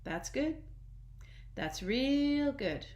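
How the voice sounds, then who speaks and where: A woman's voice: sits high, expressive, very slow, a little mellow, pitched above the performer's own voice, exaggerated voice. A woman voicing a folksy, plain-spoken, commanding mother figure in a storybook reading. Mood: satisfied, slow. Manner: folksy Southern-style colloquial phrasing, plain-spoken, commanding. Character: Big Momma, folksy no-nonsense mother creator, pleased with her work. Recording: echoey room.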